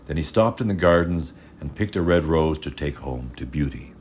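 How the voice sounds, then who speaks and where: Very deep male fairy-tale narrator. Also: soft background music. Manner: measured, expressive storytelling. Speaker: storyteller.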